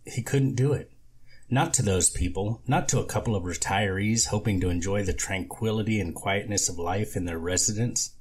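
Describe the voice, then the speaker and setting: A man's voice: fairly low pitch, moderate pace, a little hiss or hum. A man reading a novel aloud as scripted narration, as an amateur audiobook reader.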